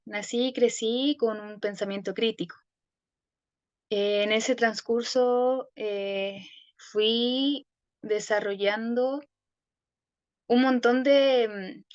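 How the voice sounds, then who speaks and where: Chilean young woman telling her story slow n thoughtful, lots of ems, muffled dry sound. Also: fairly high pitch, dry recording. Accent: Chilean Spanish. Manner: thoughtful, many hesitations and restarts. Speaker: guest. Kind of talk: reflective personal storytelling.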